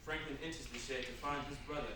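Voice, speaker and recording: A man narrating stage directions at a staged reading, in measured, deliberate short phrases. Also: medium pitch, steady tone. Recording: very echoey room from far off, clear noise.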